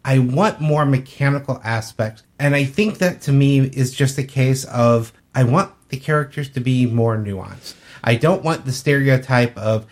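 A man's voice: medium pitch, a little slow, few pauses. A man co-hosting a podcast, giving his opinions in casual discussion. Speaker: podcast co-host.

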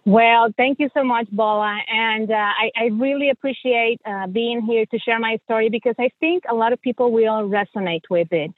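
A woman's voice: fairly high pitch, moderate pace, hardly any pauses, no room sound, narrow, tinny audio. A middle-aged woman from Mexico with a Mexican accent, a native Spanish speaker, telling her story conversationally as a podcast guest. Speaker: podcast guest, financial coach and journalist.

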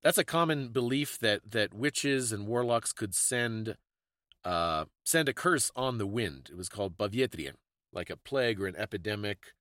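A man's voice: lowish voice, expressive, moderate pace, a bit bright, dry recording, studio quality. A man co-hosting a podcast, talking casually about history in a bookish, explanatory way. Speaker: podcast co-host. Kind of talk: casual conversational history discussion.

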